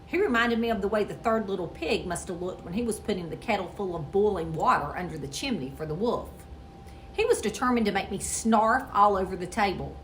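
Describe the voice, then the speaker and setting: A woman reads a children's book aloud with theatrical flair. Her mid-pitched voice swings widely as she tells the story, over a faint rumbling noise. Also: fairly quick. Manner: expressive, theatrical with character voices. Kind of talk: animated dramatic read-aloud.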